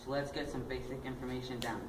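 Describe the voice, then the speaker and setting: Male mock reporter asking scripted questions, far from the mic in an echoey hall with loud noise. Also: mid-range voice, flat delivery, fast. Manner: scripted questions, occasional giggles. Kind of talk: mock interview.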